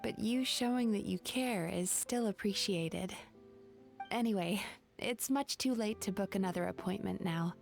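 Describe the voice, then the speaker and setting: A woman voicing a gentle, perceptive young psychologist in an anime-style video game, soothing and measured. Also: mid-range voice, creaky, soft background music. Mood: gentle, reassuring.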